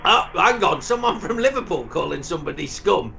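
A man with a high, bright, gravelly voice rambles through crude comic banter, stammering over his words and then bursting into sudden shouts. His pitch leaps all over the place. The recording sounds slightly muffled, with faint noise behind him. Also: big pitch swings, fairly quick, soft background music. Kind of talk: crude comedic chat.

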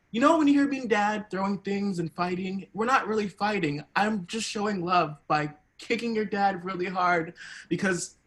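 A woman's voice: mid-range voice, lightly acted. A woman playing a cheerfully deluded, reassuring doctor mother in an improvised radio-play comedy. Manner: reassuring, explanatory.

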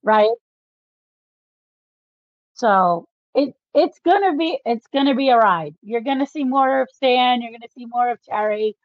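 A woman's voice: on the high side, lively intonation, unhurried, no echo. A woman co-hosting a podcast in a casual book discussion, explaining things like an enthusiastic fan. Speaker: podcast co-host. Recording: slightly dull, dry recording.

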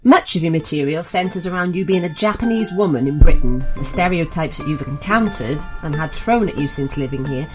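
A woman hosting a podcast with a very low voice asks measured, prepared questions. Clear music plays beneath her. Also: animated. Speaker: podcast host.

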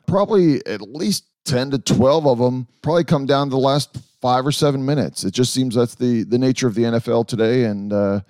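A man hosting a casual sports podcast, a bit hoarse, talking in a rambling, halting way. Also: medium pitch, a little mellow, no room sound, clean studio sound. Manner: rambling, halting, with many restarts and fillers. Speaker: podcast host.

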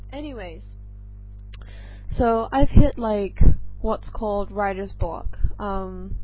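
A young woman from England hosts a casual podcast, her mixed accent leaning mostly American. She talks slowly and nervously, drifting between thoughts with plenty of ums. The recording sounds dull and lo-fi, with faint music underneath. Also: medium pitch, steady tone, slightly rough. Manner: nervous and rambling, lots of ums. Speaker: podcast host. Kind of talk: casual solo chat.

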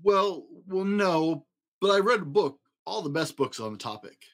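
A man's voice: high-pitched, animated, moderate pace, pitched above the performer's own voice. A man voicing a mild, bookish male monster from a children's storybook, formal and stammering, who breathes through his mouth. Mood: formal, eager. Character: Herbert, mild bookish male substitute monster, easily offended.

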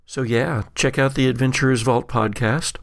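Gravelly-voiced man hosting a podcast. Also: mid-range voice, steady tone, moderate pace, no echo, clean studio sound. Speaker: podcast host. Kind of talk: scripted reading and casual host chat.

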